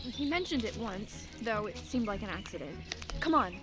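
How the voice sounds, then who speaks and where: A woman's voice: medium pitch, lively intonation, normal speed, over loud music, close to an everyday voice. An actress playing a concerned, determined young woman in an audio drama game, earnest and insistent.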